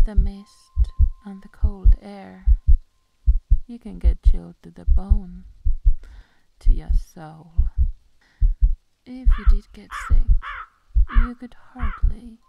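A woman playing a falsely caring young hostess of a haunted manor, hushed and halting, in whispered horror ASMR roleplay. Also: medium pitch, creaky, muffled recording, noisy background, heavily acted. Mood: quietly ominous. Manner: hushed, halting, eerily solicitous.

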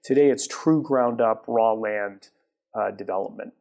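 A man's voice: lowish voice, gravelly. A man in his forties, a guest in a studio interview, explaining at length with frequent restarts, on a lapel mic in a TV studio. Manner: halting restarts, then steady explanation. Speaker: guest, retail property developer. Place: TV studio set.

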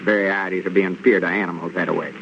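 Puffed-up southern country fella sweet-talking folks, old radio comedy, some hiss. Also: male voice, low-pitched, steady tone, fast, gravelly, fairly bright tone. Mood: boastful. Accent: rural Southern US. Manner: pompous, folksy coaxing. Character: self-important, coaxing older country man, boastful schemer. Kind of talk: 1930s radio comedy acting. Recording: dull, noisy old radio recording.

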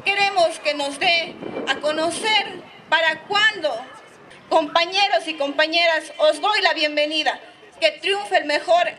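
A woman's voice: very high-pitched, measured pace, bright, forceful tone, poor audio. A young woman giving a public speech as an event president, outdoors at a microphone. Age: young adult. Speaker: championship president. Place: outdoor stage by a sports field. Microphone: microphone on a stand. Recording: outdoor PA with crowd noise.

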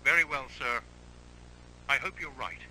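A man's voice: medium pitch, normal speed, close to an everyday voice, poor audio. A man acting a grave, agitated official in an analog horror drama, stiff and urgent. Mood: desperate, resigned. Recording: buzzy radio-like audio, faint hum.

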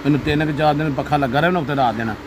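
A man's voice: fairly high pitch, quick pace, noisy background. A middle-aged man, a farm owner, chatting in a folksy, rambling, earnest way in an outdoor farmyard. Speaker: farm owner, father of host. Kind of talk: casual farm chat.

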